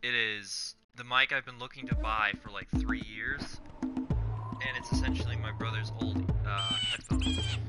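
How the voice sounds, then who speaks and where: A man's voice: medium pitch, huge pitch swings, moderate pace. A man casually chatting on a live game stream with a new mic, rambling and swearing while fighting a cold. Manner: rambling, swears, self-interrupts. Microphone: new desk microphone. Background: game music.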